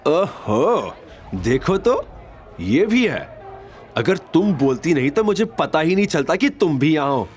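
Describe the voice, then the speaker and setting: A man's voice: on the high side, sing-song, takes it slowly, pitched above the performer's own voice. A man voicing a spiteful, mocking middle-aged mother-in-law in an audio drama. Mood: mocking outrage. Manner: mocking, scornful, shouting.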